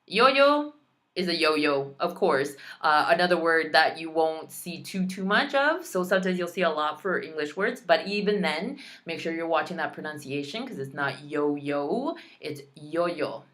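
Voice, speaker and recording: A woman's voice: low voice, expressive, unhurried, fairly bright tone. A young adult woman, a Spanish heritage speaker, teaching vocabulary in a recorded lesson with careful pronunciation and playful asides.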